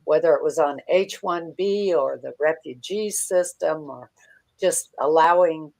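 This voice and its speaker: Older female American guest commentator. Unhurried, halting, blunt delivery in a slightly low register. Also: creaky, darkish tone, poor audio. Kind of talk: conversational political commentary.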